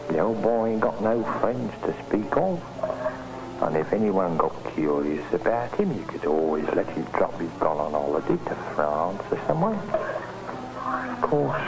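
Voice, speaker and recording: A man's voice: fairly low pitch, gravelly. An actor playing a scheming working-class London Cockney handyman and murderer in an old-time radio drama, muttering and slyly deferential. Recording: noisy vintage radio recording.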